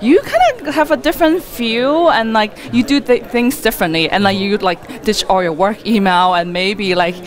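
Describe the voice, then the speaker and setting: Female interviewee, medium pitch, informal and talkative, loosely strung sentences peppered with "like". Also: animated, normal speed, hardly any pauses, a crowd in the background. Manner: rambling and chatty, frequent "like" as filler. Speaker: wedding photographer being interviewed. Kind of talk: conversational interview answers.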